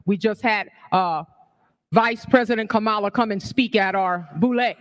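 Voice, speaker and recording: A woman's voice: mid-range voice, normal speed, a little hiss or hum. Passionate woman in her sixties speaking. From New York. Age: 60s. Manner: passionate, rhetorical, asks the audience questions. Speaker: forum speaker. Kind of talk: public speech at a community forum.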